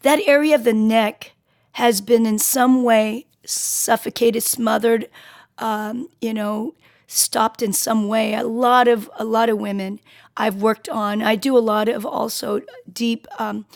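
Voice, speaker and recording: A middle-aged woman with a raspy voice, hosting a radio interview in a chatty, conversational way. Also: on the high side, fairly even intonation, takes it slowly. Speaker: radio show host. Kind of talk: casual interview chat.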